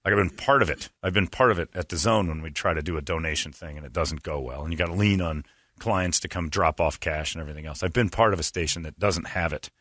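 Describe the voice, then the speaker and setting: A man's voice: low voice, quick pace, fairly bright tone, dry recording, studio quality. A man hosting a morning radio show, talking in a loose, rambling way and laughing often. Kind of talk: casual morning radio talk. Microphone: studio microphone.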